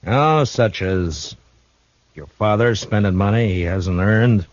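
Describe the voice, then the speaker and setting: An actor playing a steady, shrewd frontier marshal in a 1950s radio drama, speaking in a calm, dry, measured way. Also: male voice, fairly low pitch, dry recording. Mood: calm, probing. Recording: dull old radio broadcast recording.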